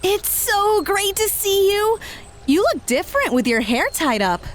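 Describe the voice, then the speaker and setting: A woman's voice: extremely high pitch, big pitch swings, measured pace, a little hiss or hum, exaggerated voice. A woman playing an upbeat, friendly and enthusiastic female fighter in an audio drama.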